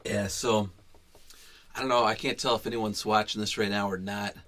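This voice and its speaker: A man's voice: lowish voice, level tone, normal speed, a bit bright, slight background noise. A man hosting a livestream, talking casually and hesitantly with many fillers and restarts. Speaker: livestream host. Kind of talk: casual unscripted livestream talk.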